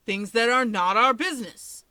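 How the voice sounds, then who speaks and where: A woman's voice: a little high, normal speed, bright, forceful tone, clean tone, no room sound. A woman playing a haughty, protective older brother in a story, with blunt, dry delivery.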